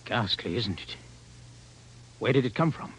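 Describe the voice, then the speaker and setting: An actor playing a laconic, wry British gentleman hunter in a radio drama, clipped and understated, with faint noise behind. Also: on the low side, expressive, a little slow, poor audio. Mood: grim understatement.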